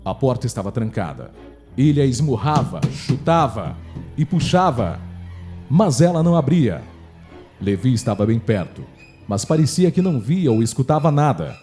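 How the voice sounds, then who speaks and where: A man's voice: medium pitch, sing-song, moderate pace, dark tone. A man with a Brazilian accent narrating a scripted horror story for radio drama. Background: faint music.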